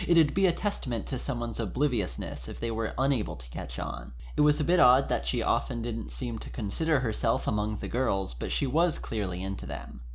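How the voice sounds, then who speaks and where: Man giving an expressive, informal dramatic reading. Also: lowish voice. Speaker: podcast member reading fan fiction aloud. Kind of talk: dramatic reading of fan fiction with casual introduction.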